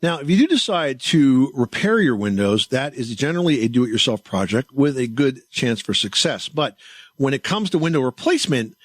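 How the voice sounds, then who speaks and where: A man's voice: medium pitch, big pitch swings, normal speed, dry recording. A man hosting a home improvement call-in show, explaining things in an emphatic, folksy, conversational way. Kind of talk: conversational advice radio hosting. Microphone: close studio microphone.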